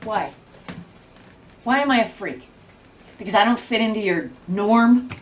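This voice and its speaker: A woman's voice: medium pitch, unhurried, close to an everyday voice. An actress playing a defiant outcast woman who challenges those judging her, in a drama. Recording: distant microphone, faint noise.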